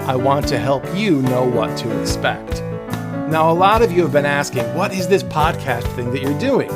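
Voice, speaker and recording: A man's voice: a little high, animated, moderate pace, over loud music. A man hosting a podcast, reading scripted narration with dry, playful humor. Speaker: podcast host.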